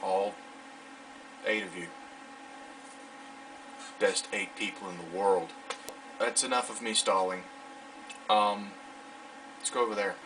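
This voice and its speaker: A man's voice: low-pitched, fairly even intonation, slow pace, pauses often, background noise. A man in his late teens chatting casually in a vlog, rambling in an informal, self-deprecating way. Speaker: vlogger. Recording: distant mic, clear music behind.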